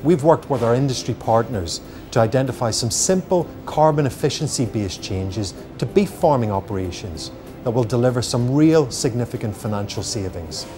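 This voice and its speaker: A man's voice: mid-range voice, expressive, moderate pace, mellow, soft background music. A man speaking as a company spokesman in a polished, formal interview. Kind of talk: corporate interview.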